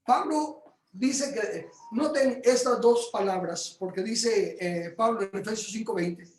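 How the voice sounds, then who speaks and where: Animated preacher man in an echoey room. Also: high voice, measured pace, slight background noise. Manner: animated, with sudden loud exclamations and many fillers. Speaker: Bible teacher. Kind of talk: informal teaching in a home group.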